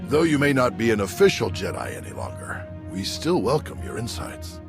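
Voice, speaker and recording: An actor playing an earnest, courteous Jedi master in a video game, speaking in formal, measured phrases. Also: male voice, on the low side, huge pitch swings, full character voice. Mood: solemn, grateful. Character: earnest, solemn, courteous male Jedi elder. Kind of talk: video game cutscene acting. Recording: clear music under the voice.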